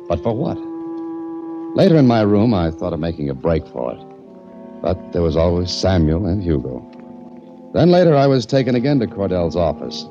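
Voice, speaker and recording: A wry, tough radio adventurer. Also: male voice, deep voice. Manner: wry, tough first-person narration. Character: hard-boiled male adventurer held captive. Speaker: narrator and lead. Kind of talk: 1940s radio acting. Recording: dull old radio recording.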